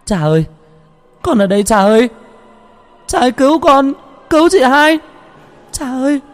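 A man's voice: extremely high pitch, sing-song, a little fast, darkish tone, voice pushed up. A man voicing a frightened young child in a horror story, stammering, pleading and wailing, with music behind. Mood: desperate pleading. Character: frightened young child crying out for father and sister.

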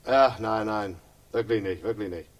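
A man's voice: lowish voice, moderate pace, lightly acted. An actor playing a grimly ironic police inspector in a radio crime drama, mock-jovial and hesitant, repeating himself as he needles. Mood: mock-friendly. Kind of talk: 1960s German radio crime drama acting.

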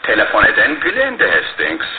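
An actor playing a shrewd, grave middle-aged detective in a radio drama, speaking in a deliberate, theatrical manner. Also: male voice, on the low side, huge pitch swings. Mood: purposeful. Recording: phone-like lo-fi radio broadcast, faint noise.

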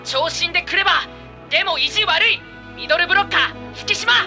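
A piping, bright teenage anime boy, as a woman plays him, bursting with eager energy and puffing himself up. His voice sits very high with a sharp, ringing edge. Light music plays under him in a drama CD. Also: normal speed, full character voice. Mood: announcing. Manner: overexcited, self-dramatizing. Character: energetic boastful teenage boy volleyball player.

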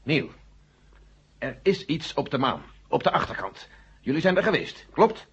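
An actor playing an earnest general who pleads insistently and urgently in a 1970 radio drama. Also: male voice, a little high, sing-song, measured pace, slightly rough, full character voice. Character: earnest, urgent male general defending a secret mission. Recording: dull, muffled old radio recording.

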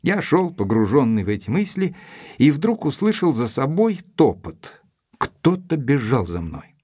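An actor playing an earnest, guarded gentleman doctor in an audio drama, narrating in a measured, literary and formally courteous way. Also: mid-range voice, sing-song, husky, no echo, studio quality. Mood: pensive narration.

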